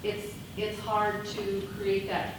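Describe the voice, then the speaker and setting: A woman in a conference audience, answering a question hesitantly with pauses. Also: medium pitch, monotone. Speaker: audience member. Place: conference room. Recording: echoey room, far from mic, noisy.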